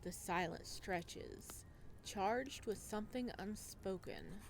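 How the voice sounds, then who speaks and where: Female playthrough host, slow-paced dramatic story reading broken by casual asides, faint background noise. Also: medium pitch, creaky. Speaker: video game playthrough host. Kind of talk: dramatic read-aloud narration with casual commentary.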